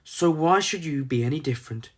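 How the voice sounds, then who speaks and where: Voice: medium pitch, expressive, fairly quick, natural voice. A storybook narrator voicing a determined mother hen, an earnest and protective leader. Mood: remorseful.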